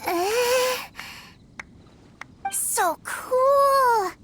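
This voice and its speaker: A woman voicing an excitable, innocent, adoring little child in a video game, gushing and wide-eyed. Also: very high-pitched, drawn-out words, noisy background, heavily acted. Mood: awestruck.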